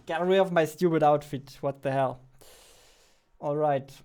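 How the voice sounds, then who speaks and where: A man's voice: fairly high pitch, steady tone, takes it slowly, a little mellow, a little hiss or hum. A young man giving casual work-along commentary, thinking aloud in a joking, self-mocking way at his desk. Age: young adult. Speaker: indie game developer vlogging. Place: indoors at a computer desk.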